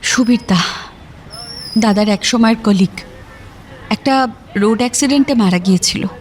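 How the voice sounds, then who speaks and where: A young woman acting in an audio drama as a worried, wistful sister, confiding in a halting, emotional way. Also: fairly high pitch, slight background noise, natural voice. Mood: troubled. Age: young adult. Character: worried, wistful young working woman devoted to her ailing brother. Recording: music bed under the voice.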